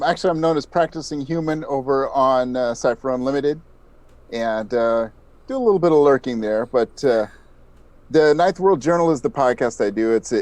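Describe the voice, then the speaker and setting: A man's voice: mid-range voice, darkish tone. A man speaking as an interview guest, explaining things modestly in a conversational way. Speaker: interview guest, audio drama podcast creator.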